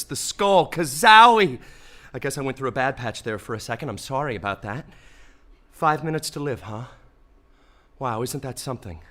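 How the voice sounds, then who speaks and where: A man's voice: mid-range voice, bright, forceful tone. An actor playing a melodramatic, dying man of faith in a comic audio play, given to exclamations and big emotional swings. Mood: awestruck. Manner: melodramatic, exclamatory, wailing and gushing by turns. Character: dying man of faith in desert, theatrical, swings panic to wonder.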